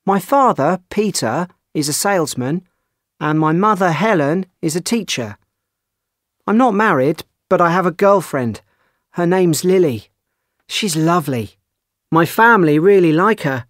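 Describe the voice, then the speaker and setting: Man as young student from England, clear, measured, dry booth. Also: high-pitched, expressive, fairly bright tone, dry recording. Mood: calm. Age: young adult. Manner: clear, measured, carefully paced. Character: male student introducing his family. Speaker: textbook dialogue voice. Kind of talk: slow scripted textbook reading.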